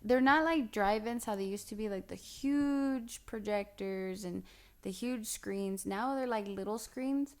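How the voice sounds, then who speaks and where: A woman co-hosts a casual movie podcast with a friend. Her voice is smooth and clear at a middle pitch. She teases playfully and keeps the talk light. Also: a little slow. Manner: playful, teasing, laughs easily. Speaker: podcast co-host. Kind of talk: casual chat.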